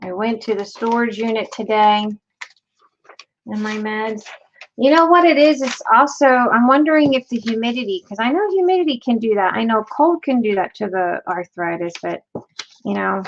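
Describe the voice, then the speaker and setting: A woman's voice: a little high, moderate pace, gravelly. A middle-aged woman hosting a live craft tutorial, chatting casually and slipping into Spanish phrases. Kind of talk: casual live-stream chat while crafting. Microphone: desk mic over an overhead craft camera. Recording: slightly dull audio with faint hiss.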